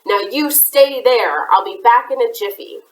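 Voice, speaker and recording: A woman voicing a lonely, gentle male giant in a storybook reading, slow and booming. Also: a little hiss or hum, lightly acted. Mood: friendly. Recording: roomy echoey room.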